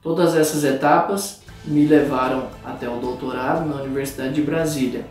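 A man's voice: medium pitch, steady tone, moderate pace, a little mellow, very echoey, far from the mic, soft background music. A man reflecting in a composed way on his studies and career path, speaking from a bookshelf-lined room. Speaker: former student, now professor. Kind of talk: personal testimonial.

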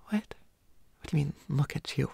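A young woman acting as a flustered, pouty teenage schoolboy in an intimate roleplay audio, stammering and often hushed to a whisper, indignant but secretly smitten. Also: sits low, gravelly, slightly rough, no echo, heavily acted. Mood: softening, shy.

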